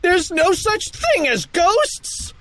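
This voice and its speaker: A man voicing a whiny, terrified school bully who wails and yells, in an audiobook. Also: extremely high pitch, wildly swooping pitch, slow pace, voice pushed up, exaggerated voice. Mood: wailing panic. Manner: wailing, yelling. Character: whiny, terrified school bully boys, easily reduced to tears.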